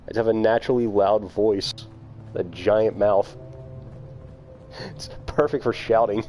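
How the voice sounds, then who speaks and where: A man's voice: lowish voice, fairly even intonation, unhurried, a little mellow, faint music behind. A man streaming a video game, chatting casually with his viewers and calling them by name. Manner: chatty, talks to chat viewers by name, laughs often. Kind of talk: casual live-stream commentary.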